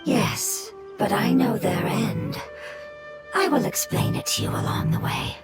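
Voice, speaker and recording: A solemn otherworldly creature with a breathy, fairly high voice that swings widely in pitch. It speaks humbly and reverently, as video game dialogue under loud music. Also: female voice, wildly swooping pitch, a little slow. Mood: earnest. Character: solemn, humble mystical life form, survivor longing for home.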